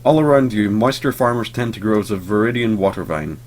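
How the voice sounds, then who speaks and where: A man's voice: on the low side, a little fast, a little mellow, slight background noise. A young man, just over a cold, casually talking through a game he is playing in a sleepy, rambling way with lots of fillers. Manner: rambling and sleepy, lots of ums, swears offhandedly. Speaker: let's play host. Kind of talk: casual gameplay commentary.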